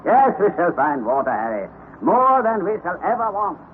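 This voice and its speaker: A man's voice: high voice, sing-song, moderate pace. A man playing a commanding professor uncle. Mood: reassuring. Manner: commanding, gives orders. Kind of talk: 1930s radio drama acting. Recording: tinny lo-fi old radio recording, faint hiss.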